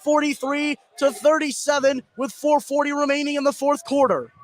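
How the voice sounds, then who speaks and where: A man doing live play-by-play basketball commentary on the radio, raising his voice into excited shouts on big plays. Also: very high voice, normal speed. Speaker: play-by-play announcer. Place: indoor school basketball gym, live courtside. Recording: noisy live gym broadcast.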